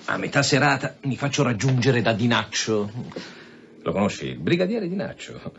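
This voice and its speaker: An actor playing a sardonic, insistent police commissioner in an Italian radio drama, with sarcastic repetitions and veiled threats. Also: male voice, medium pitch, sing-song, moderate pace, vocal fry, fairly bright tone. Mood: sardonic, menacing. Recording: dull sound with faint background noise.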